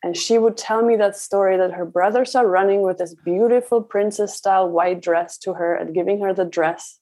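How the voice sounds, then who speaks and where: A woman from the Czech Republic is talking as a guest in an interview. She tells personal stories conversationally, at a middle pitch for a woman. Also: moderate pace, dark tone. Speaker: parenting coach, interview guest.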